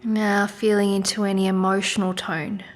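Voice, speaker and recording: A woman leading a guided meditation in a soft, gentle, soothing manner with unhurried pauses. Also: mid-range voice, little pitch movement. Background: music.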